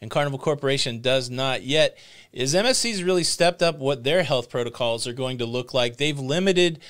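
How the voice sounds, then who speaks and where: A man in his forties giving a steady, matter-of-fact news update as a vlog host in a home studio. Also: medium pitch, moderate pace. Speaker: cruise news vlogger. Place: home studio with purple lighting. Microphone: podcast mic in front.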